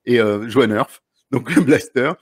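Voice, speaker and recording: A man's voice: mid-range voice, normal speed, slightly rough, dry recording, clean studio sound. A man, hosting a casual live video chat at a desk microphone, chatty and encouraging, often repeating himself. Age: 30s. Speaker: host of a live stream. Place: indoor room at home. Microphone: large desk microphone.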